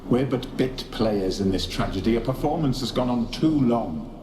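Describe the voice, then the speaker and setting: A man's voice: mid-range voice, lively intonation, normal speed, natural voice. An actor voicing a world-weary male spirit servant in a video game, theatrical, formal and eerie. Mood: weary, solemn. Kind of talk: video game dramatic acting. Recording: roomy echo, noisy game ambience.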